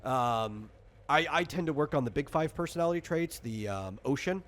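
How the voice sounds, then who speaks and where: A man's voice: mid-range voice, lively intonation, normal speed. A man casually walking a player through a tabletop game as game master, rambling, explanatory and freely opinionated.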